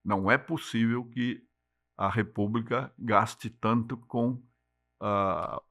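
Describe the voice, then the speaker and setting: An older man with a São Paulo accent, speaking as an interview guest in a measured, explanatory way and often ending points with a tag question. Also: mid-range voice, fairly bright tone, no echo. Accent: São Paulo Portuguese. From Brazil. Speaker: interview guest, city climate secretary. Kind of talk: unhurried interview talk.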